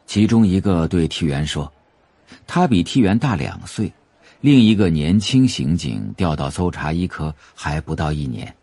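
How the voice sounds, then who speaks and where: A man narrating a novel for an audio drama in a measured, atmospheric storytelling manner. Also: low voice, big pitch swings, warm tone, breathy edge, no room sound.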